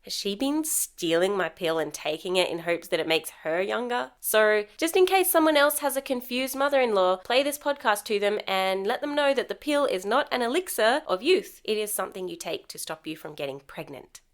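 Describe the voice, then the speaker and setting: A woman's voice: mid-range voice, lively intonation, moderate pace, few pauses. A young adult woman hosting a solo podcast, telling stories in a wry, sarcastic, comedic way. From Sri Lankan heritage. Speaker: podcast host.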